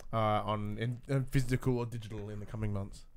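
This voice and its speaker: A man's voice: lowish voice, steady tone, fairly quick. A man hosting a podcast, chatting casually and putting questions to his co-host. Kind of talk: casual chat.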